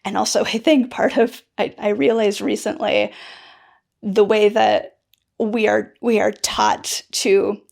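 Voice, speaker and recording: Podcast guest, woman, candid and reflective, with a gravelly edge to her voice. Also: mid-range voice, steady tone, slow pace. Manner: thoughtful, candid, soft-spoken with hesitations. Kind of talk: casual conversation.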